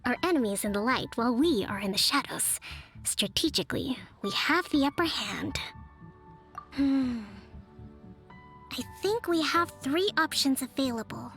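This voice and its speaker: A calm young leader and tactician, speaks in a fairly high, even voice with a measured and formal delivery. She sounds composed and in control, and light game music plays quietly underneath. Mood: strategic. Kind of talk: game voice acting. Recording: studio voice with soft game music.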